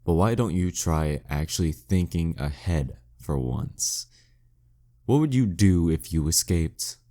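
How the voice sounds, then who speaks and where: A man performs a dominant demon king in a flirty audio drama. His voice is very deep and dark, with a mellow softness. He speaks slowly and condescendingly, teasing the listener with courtly, seductive menace. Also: animated. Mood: gloating, mocking. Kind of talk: flirty audio roleplay monologue addressed to the listener.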